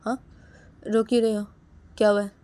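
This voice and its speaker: A woman voicing an angry young man who shouts repeated questions and demands answers. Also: on the high side, expressive, a little fast, voice pushed up, lightly acted. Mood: insistent.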